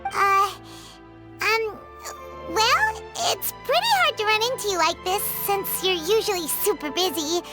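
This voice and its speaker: A woman's voice: very high-pitched, lively intonation, unhurried, over loud music. A woman voicing a tiny, curious, naive fairy companion in a video game, with a childlike, wide-eyed way of talking. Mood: hesitant, friendly. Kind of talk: scripted game dialogue.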